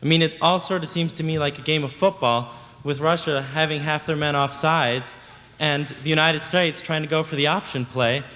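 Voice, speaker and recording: A man's voice: fairly high pitch, steady tone, normal speed, few pauses, pushed and bright. A young man, a student, asking an earnest, wordy question from the floor at a town hall. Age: young adult. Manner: earnest, wordy, searching for words. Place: large lecture hall. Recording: long reverb in a large hall.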